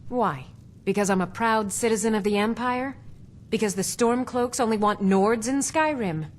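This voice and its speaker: An actress voicing a proud, opinionated middle-aged alchemist shopkeeper in a fantasy video game. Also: female voice, a little high, moderate pace, lightly acted. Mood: indignant.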